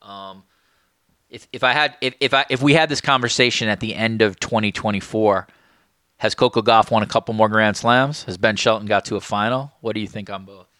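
Bright-voiced man hosting, dry sound. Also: lowish voice, fairly quick, no room sound. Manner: loose, hedging questions, thinking aloud. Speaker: podcast host. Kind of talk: casual interview chat.

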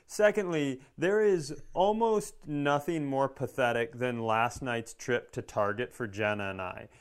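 A man's voice: mid-range voice, unhurried. A man co-hosting a podcast in casual comedic chat, self-mocking and acting out little quotes as he talks. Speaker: podcast co-host.

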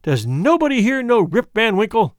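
A male narrator doing a ragged, humble villager, pleading and bewildered, raised a little above the reader's usual pitch and pushed out louder. The sound is dry and close. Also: sing-song, unhurried, dry recording. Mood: anxious. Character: dismayed, humble ragged middle-aged man.

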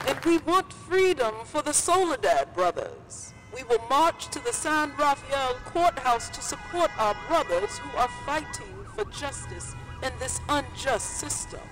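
A woman's voice: high-pitched, low-quality recording. An actress playing a passionate young woman activist in a historical audio drama, giving an emphatic, defiant protest speech. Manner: impassioned, emphatic rallying delivery. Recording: loud crowd and music behind speech.

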